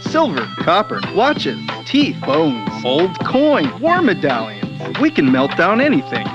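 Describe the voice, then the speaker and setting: A woman playing a pushy, flamboyant pitchwoman in a parody radio ad, punching out her sales lines. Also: wildly swooping pitch, slow pace, highs cut off, music in the background, exaggerated voice. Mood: hard sell.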